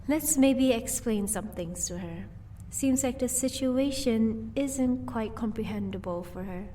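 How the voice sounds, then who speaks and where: A woman playing a vampire's playful, possessive wife in an audio roleplay, teasing and doting with quiet menace. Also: fairly high pitch, unhurried, far from the mic, slight background noise, lightly acted. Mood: gently menacing.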